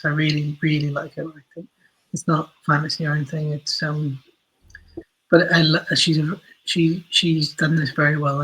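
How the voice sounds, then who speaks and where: A man's voice: on the high side, a bit bright, breathy edge, rough recording. A man answering interview questions as a writer, talking conversationally and often correcting himself as he goes. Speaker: interview guest, writer for audio and screen.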